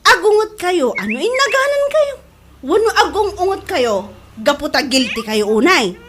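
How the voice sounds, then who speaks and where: Actress as a high-pitched scolding Ilocano woman. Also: big pitch swings, normal speed, noisy background. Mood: taunting. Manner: scolding, taunting. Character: sharp-tongued scolding woman, sassy and fearless. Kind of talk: Ilocano radio comedy drama acting.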